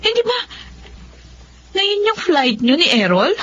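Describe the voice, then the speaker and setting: A woman's voice: very high-pitched, very animated, slow pace, fairly bright tone, full character voice. A woman playing a frank, skeptical but responsible elder sister in a Filipino audio drama. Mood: surprised, dismayed. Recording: dull lo-fi audio, faint noise.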